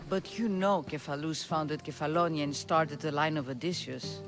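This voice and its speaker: A woman playing a devout, earnest priestess in a video game, speaking in a gently persuasive storytelling way. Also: low-pitched, normal speed. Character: earnest, devout priestess of an ancient Greek shrine. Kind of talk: video game cutscene acting. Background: music.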